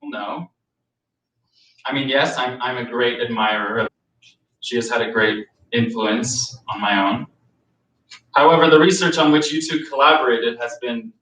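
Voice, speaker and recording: An actor in a staged reading playing an earnest young male grad student, halting, deferential and formally worded. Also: medium pitch, a bit bright, lightly acted. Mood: nervous, admiring. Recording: echoey room, distant microphone.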